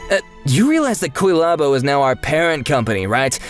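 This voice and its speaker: A man's voice: a little high, sing-song, fairly quick, faint music behind. A man voicing an indignant, snobbish young office worker in a manga dub, sneering and argumentative. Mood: scornful. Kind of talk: anime-style manga dub acting.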